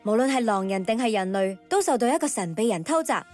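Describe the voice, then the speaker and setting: A woman's voice: fairly high pitch, animated, quick pace, darkish tone, faint music behind. A woman voicing a vengeful young werewolf girl in a dramatic Hong Kong Cantonese video game performance. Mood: bitter, vengeful.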